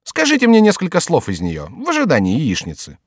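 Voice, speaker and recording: A man voicing a sly, teasing court jester with excitable, mock-indignant exclamations in a storybook reading. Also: fairly high pitch, a little fast, husky, no echo, clean studio sound, voice pushed up, heavily acted. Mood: teasing, coaxing. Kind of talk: dramatised audiobook character acting.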